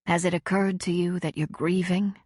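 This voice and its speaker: A woman voicing a calm, patient counselor who asks gentle, probing questions. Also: lowish voice, normal speed, husky, no room sound, clean studio sound.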